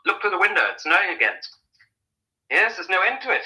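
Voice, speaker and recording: An actor playing a gentle, stoic man on the run, understated and reassuring, in a vintage radio drama. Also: high voice, animated, fast talker, full character voice, rough recording. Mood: resigned, tender. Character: calm, resigned male fugitive, gentle and stoic.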